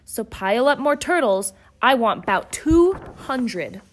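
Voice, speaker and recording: A woman's voice: fairly high pitch, sing-song, slow pace. A woman voicing a pompous, boastful male turtle king who bellows his orders.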